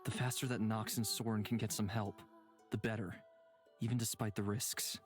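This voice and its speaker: A man playing a solemn, courteous mystic with a measured, formal manner in a visual novel game. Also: lowish voice, level tone, close to an everyday voice. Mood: solemn, calm. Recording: music behind the voice.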